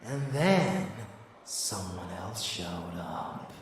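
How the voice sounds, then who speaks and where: A man playing a rebellious vampire lover in an intimate ASMR audio drama, doting and darkly scheming, in hushed murmurs. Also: deep voice, very animated, far from the mic, noisy background, heavily acted. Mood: dark, storytelling. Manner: slow, hushed, murmured endearments. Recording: heavy echo, music underneath.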